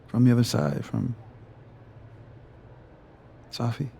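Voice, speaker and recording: Slow, gravelly, hesitant man. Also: mid-range voice, steady tone, dark tone, slight background noise. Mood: moved. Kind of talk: video game dialogue acting.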